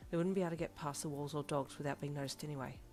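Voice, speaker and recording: A protective young man in a storybook drama, voiced by a woman. He is the watchful older brother, brisk and commanding in how he speaks. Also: low voice, fairly even intonation, a little mellow, soft background music, close to an everyday voice. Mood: wary.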